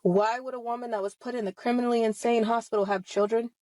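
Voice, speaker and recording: A woman's voice: on the high side, fairly even intonation, fast, mellow, dry recording. A woman of about thirty giving impassioned personal testimony straight to her online audience, emphatic and confrontational, leaning on rhetorical questions. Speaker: online video host speaking about personal experience.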